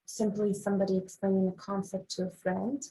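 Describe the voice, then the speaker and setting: A young woman from Morocco speaks as a podcast guest, with a steady, fairly flat tone. She answers thoughtfully, pausing on ums as she works out what she means. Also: mid-range voice, rough recording. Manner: thoughtful, measured, with frequent ums. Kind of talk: casual interview talk.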